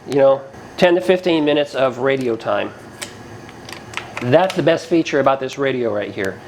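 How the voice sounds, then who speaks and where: A man's voice: a little high, a little hiss or hum, poor audio. A man giving a casual, plainspoken product review to camera, explaining features as he goes, recorded inside a garage. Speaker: product reviewer, channel host. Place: inside a cinder-block garage.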